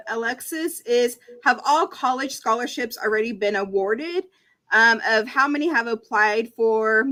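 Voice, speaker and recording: A woman's voice: on the high side, sharp tone. A woman moderating an online information session, reading out audience questions with frequent ums. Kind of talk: webinar moderation.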